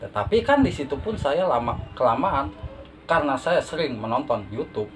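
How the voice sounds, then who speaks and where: A man speaks as a guest on a casual podcast. He is humble and a little halting, with an average-pitched voice. The amateur recording carries faint noise. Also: big pitch swings. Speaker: podcast guest, beginner YouTuber. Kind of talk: casual interview answers. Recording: noisy amateur phone recording.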